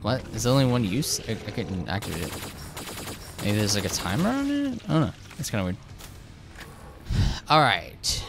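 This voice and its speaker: A young man, slightly hoarse, casually commenting over his gameplay, rambling with lots of fillers and restarts. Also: fairly low pitch, big pitch swings, moderate pace, long pauses, background noise. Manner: rambling, hesitant, frequent filler words and restarts. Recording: game gunfire loud behind voice. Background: shooter game sounds and gunfire.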